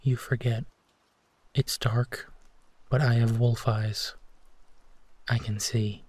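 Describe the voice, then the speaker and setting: Guy doing a gentle werewolf boyfriend, breathy and slow, soothing bedtime roleplay voice right up close. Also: medium pitch, flat delivery. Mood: reassuring. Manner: soft, soothing, hesitant pauses, often drops to whispering. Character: gentle protective male werewolf boyfriend comforting his partner. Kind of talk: intimate roleplay audio, sleep-aid.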